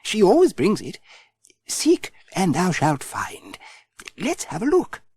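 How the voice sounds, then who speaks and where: A man's voice: high voice, huge pitch swings, takes it slowly, darkish tone, breathy edge, no echo, voice pushed up, heavily acted. A man voicing a kindly, earnest, slightly preachy country vicar in a children's audiobook.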